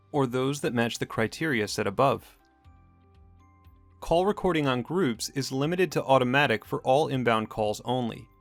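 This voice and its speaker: A man reading scripted instructional narration in a measured, matter-of-fact corporate manner. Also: medium pitch, vocal fry, dry recording. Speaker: tutorial narrator. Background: background music.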